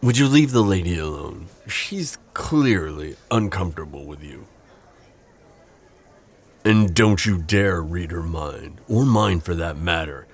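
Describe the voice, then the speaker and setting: Man playing a deep-voiced, sardonic, secretive coworker. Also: animated, unhurried, husky, full character voice. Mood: defiant, protective. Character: sardonic, protective male civilian coworker hiding a secret identity. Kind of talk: audio roleplay acting.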